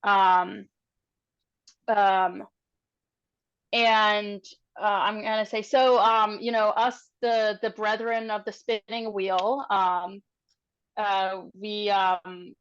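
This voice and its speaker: Halting woman player on dull-sounding audio. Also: mid-range voice, flat delivery, clear, resonant tone. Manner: halting, thinking aloud. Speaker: tabletop game player. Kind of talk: casual, improvised roleplay.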